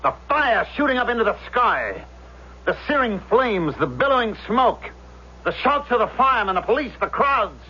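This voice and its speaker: An actor playing a deranged, obsessive fire chief in a 1970s radio drama, speaking feverishly and rhapsodically. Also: male voice, very high voice, very animated, moderate pace, pauses often, pushed and bright, full character voice. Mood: rapturous. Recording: noisy, muffled vintage radio recording.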